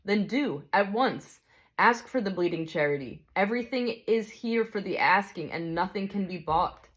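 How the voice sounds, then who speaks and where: A woman voicing a calm, joyful male spirit who speaks gently and reassuringly. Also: medium pitch, moderate pace, lightly acted. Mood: serene.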